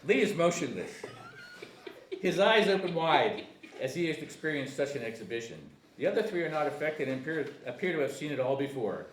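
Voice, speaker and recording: Animated man narrating in an echoey room. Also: a little high, brisk, far from the mic. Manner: stumbles and repeats words while reading. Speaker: stage-direction narrator. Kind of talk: table read of a comedy screenplay. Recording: echoey room with faint noise.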